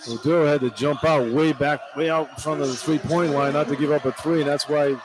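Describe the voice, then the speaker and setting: A man's voice: fairly high pitch, fairly even intonation, fairly quick. A man giving informal, opinionated color commentary and analysis on a live radio basketball broadcast. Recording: noisy live arena broadcast. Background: arena crowd ambience.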